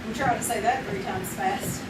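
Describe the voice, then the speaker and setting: A woman's voice: mid-range voice, normal speed, close to an everyday voice. A woman playing a romantic, bubbly teenage schoolgirl in a live old-time radio drama. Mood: chatty. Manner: bubbly, giggly, lively. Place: theater stage. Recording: roomy, distant live stage recording with noise.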